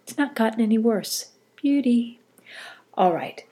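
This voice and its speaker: A woman's voice: on the high side, lively intonation, measured pace, warm tone, slightly rough. Stuffy-nosed woman hosting a chatty podcast. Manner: chatty and rambling, with self-corrections. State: stuffy nose from a cold. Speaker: podcast host. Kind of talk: casual solo podcast chat.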